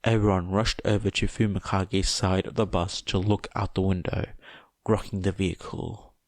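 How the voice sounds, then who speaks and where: A man's voice: low-pitched, flat delivery, moderate pace, no echo. A man reading a fan fiction story aloud as a scripted narration. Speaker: fan fiction audiobook reader.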